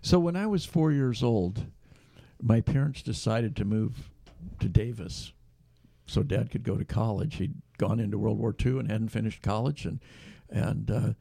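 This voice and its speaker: A man's voice: lowish voice, normal speed, gravelly, warm tone, no room sound. An older man hosting a casual talk show, folksy and rambling with many restarts. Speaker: host. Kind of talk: casual interview chat.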